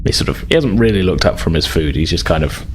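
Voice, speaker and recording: A man's voice: deep voice, lively intonation, quick pace, a little hiss or hum. A man acting as game master, narrating a tabletop roleplaying game in a conversational, descriptive way.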